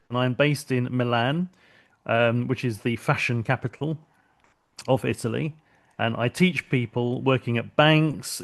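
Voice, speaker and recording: A middle-aged man from London speaks with a British accent as he leads an online lesson. He talks steadily and patiently, often pausing with an um. He sounds like he is speaking into a computer microphone on a video call. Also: medium pitch, creaky. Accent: British English, London. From London, England. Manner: steady, patient teaching with frequent ums. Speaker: business English teacher.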